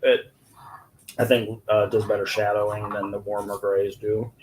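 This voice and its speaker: A man's voice: lowish voice, normal speed, a crowd in the background, low-quality recording. A man with a stuffed nose, sniffling and casually explaining his coloring while drawing on a live stream. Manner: relaxed, explaining as he works. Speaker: comic artist.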